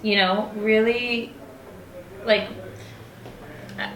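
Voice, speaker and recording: A woman's voice: a little high, fairly even intonation, very slow, a bit bright. Chatty young woman in echoey room. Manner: chatty, many "like" fillers. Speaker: singer-songwriter guest. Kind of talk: casual interview chat.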